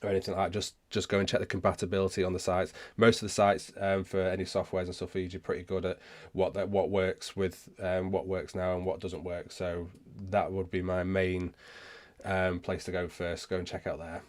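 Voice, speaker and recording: A man hosting a casual live question-and-answer session, speaking haltingly and often rephrasing himself as he goes. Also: deep voice, completely flat delivery. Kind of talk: casual livestream chat, answering viewer questions.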